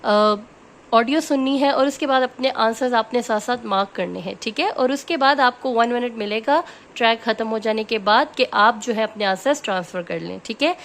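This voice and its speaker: Lady teacher, bit high voice, walks through it step by step, keeps checking in. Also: normal speed, a bit bright. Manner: explains step by step and keeps asking "ठीक है?"; switches between Hindi-Urdu and English phrases. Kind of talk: test-prep lecture.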